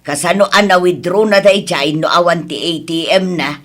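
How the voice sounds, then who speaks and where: An actress playing a gossipy middle-aged Ilocano auntie in a radio drama, drawling and exclaiming. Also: female voice, fairly low pitch, moderate pace, natural voice. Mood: concerned, gossipy.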